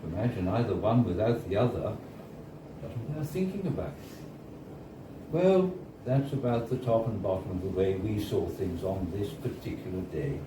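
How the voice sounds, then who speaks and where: A man reads a first-person story aloud at a live event in a bar, in a measured storytelling manner with deliberate pauses. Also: on the low side, animated. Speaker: reader of a short story. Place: indoors at a bar, live reading. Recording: roomy, distant, noisy live bar recording. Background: clear background noise and room ambience.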